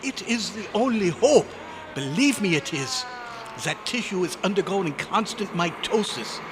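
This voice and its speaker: Through a noisy live recording comes a high, wildly swinging male voice. A man acts out a frantic scientist in an old-time radio play, pleading with formal, urgent warnings of doom. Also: lively intonation, unhurried. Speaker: club member performing a radio play recreation. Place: hotel convention meeting room, live performance.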